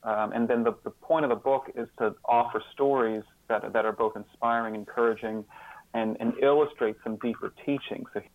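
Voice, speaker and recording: A man speaking as an interview guest, explaining in a halting way, repeating words and stressing key terms. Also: mid-range voice, fairly even intonation, dry recording, phone-quality sound. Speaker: interview guest, author and sociologist.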